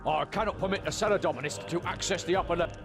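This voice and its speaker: A man's voice: high-pitched, fast talker, loud music behind the voice. A man voicing a nervous, apologetic guard in a video game, deferential to his captain and prone to stammering. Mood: flustered.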